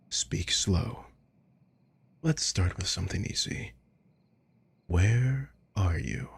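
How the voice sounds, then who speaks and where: Sadistic male villain acted by a man, ASMR roleplay. Deep voice, unhurried delivery, cool menace under mock care. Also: darkish tone, heavily acted. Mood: coaxing, stern. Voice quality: breathy, husky. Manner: slow, deliberate, taunting. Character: sadistic male villain, coolly menacing, mockingly caring captor. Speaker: audio roleplay voice actor.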